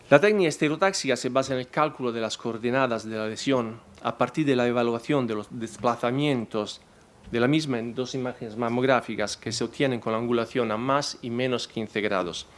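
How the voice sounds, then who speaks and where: Non-native male presenter, mid-pitched, halting delivery with many restarts. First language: not Spanish. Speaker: conference presenter. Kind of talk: reading a technical talk.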